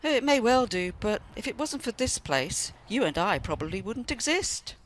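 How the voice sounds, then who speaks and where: Reminiscing old gran played by a woman, very animated. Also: a little high, poor audio. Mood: wistful. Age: older. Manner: unhurried, reminiscing. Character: reflective elderly grandmother recalling wartime family history. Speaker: amateur radio play actor. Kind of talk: radio play storytelling.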